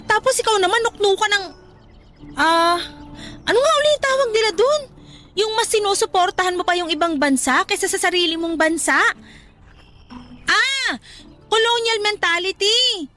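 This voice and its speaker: A woman's voice: extremely high pitch, expressive, moderate pace, pauses often, pushed and bright, soft background music, heavily acted. A woman playing a sensible, exasperated young cousin and coworker who nags and admonishes in a Tagalog radio drama. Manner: nagging, admonishing.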